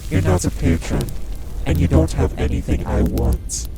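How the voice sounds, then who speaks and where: A man's voice: on the low side, very animated, normal speed, a loud crowd. A man playing a proud, condescending arch-demon casino owner in an audio roleplay, drawling and teasing with pet names. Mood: cold, scornful. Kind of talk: dramatic audio roleplay acting. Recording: music under the voice. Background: music.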